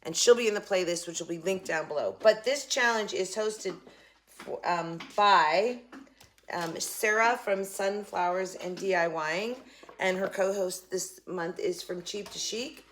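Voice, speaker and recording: A woman hosting a casual craft vlog, a little hoarse, chatting excitedly as she opens a package. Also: mid-range voice, a little slow, a little hiss or hum. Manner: chatty, excitable, jokes with a pet. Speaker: craft channel host.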